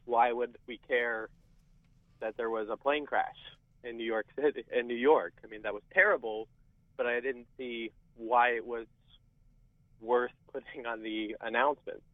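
A man's voice: mid-range voice, fairly even intonation, normal speed, dry recording. A young adult man calling in to a radio show, reflectively recalling his memories. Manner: reflective storytelling. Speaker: caller. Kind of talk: call-in radio talk. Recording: tinny phone line.

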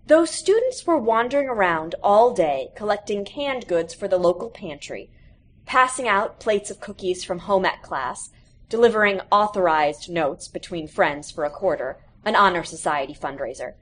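A woman narrates a short story from a script. Her delivery is expressive and animated, with pitch that moves widely through the sentences. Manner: animated, acts out quoted characters.

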